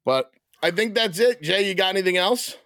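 An upbeat man hosting a podcast, talking loud and lively while losing his voice a little. The sound is close and dry, with no room around it. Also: sits high, brisk, no echo. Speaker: podcast host. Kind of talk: casual chat.

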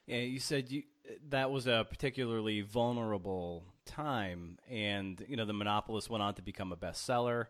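A man hosting a conversational interview, phrasing his questions in a measured, reflective way. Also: on the low side, a bit bright. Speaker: podcast interviewer and host.

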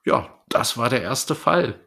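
A man's voice: medium pitch, sing-song, slow, gravelly, sharp tone, husky. A man co-hosting a podcast, casually recapping and reviewing in a loose, conversational way. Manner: conversational, loosely retelling from notes. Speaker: podcast co-host.

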